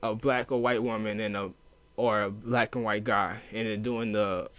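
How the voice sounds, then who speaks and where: A man from the inner city who moved south, a guest talking in a loose, profane way with lots of fillers and lively retelling. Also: lowish voice, normal speed. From US inner city, moved to Louisiana. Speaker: podcast guest. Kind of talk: casual chat.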